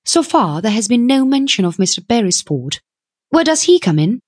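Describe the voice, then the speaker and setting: A small, plucky young woman as a woman narrator puts her on in an audiobook. Her voice is lifted and very lively in pitch, recorded dry. She is direct, pert and sure of herself. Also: normal speed, dry recording. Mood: firm. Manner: pert, direct, self-possessed. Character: small, plucky, sharp-witted young woman seeking work. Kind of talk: audiobook character voice.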